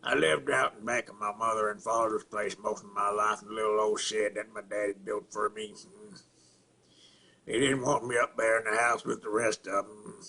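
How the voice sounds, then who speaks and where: A man acting a simple-minded rural Southern man in a halting, plainspoken dramatic film monologue, with a throaty rasp and grunts. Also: fairly low pitch, flat delivery, bright, forceful tone, slight background noise. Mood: flat, matter-of-fact. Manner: halting, repetitive, plainspoken, folksy.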